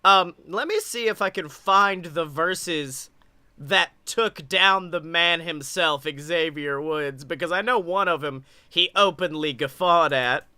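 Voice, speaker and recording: A young man hosting a casual wrestling podcast in an excitable, playful, hyped-up way. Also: fairly high pitch, slow pace, clear, resonant tone, no echo. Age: young adult. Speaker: podcast host. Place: small room at home, on a video call.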